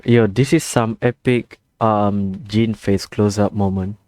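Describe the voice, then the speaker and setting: Slow-paced slangy male gamer. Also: on the low side, fairly even intonation, darkish tone. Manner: slangy, laid-back, hesitant phrasing. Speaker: gaming streamer. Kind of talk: casual gameplay reaction commentary.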